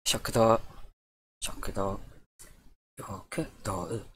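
A man's voice: on the low side, slow pace, a bit bright, slightly rough. A man teaching a casual vocabulary lesson over his screen, voice dry and tiring, easygoing and correcting himself as he goes. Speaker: Japanese language teacher.

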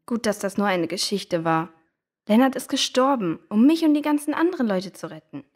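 A woman's voice: medium pitch, lively intonation, fairly quick, close to an everyday voice. A woman playing a sympathetic, inquisitive child in a children's audio drama. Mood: sympathetic, curious.

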